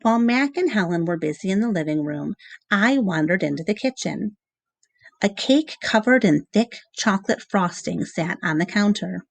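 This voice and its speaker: A woman reading a children's novel aloud in measured, expressive storybook narration with short pauses between phrases. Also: medium pitch, creaky, no echo.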